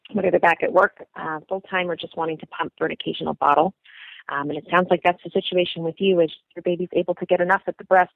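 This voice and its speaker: A woman who is a lactation consultant gives calm, instructive advice with frequent ums, heard over a phone-like recording. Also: fairly low pitch, vocal fry, no echo. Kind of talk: advice answer. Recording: phone-like, narrow band.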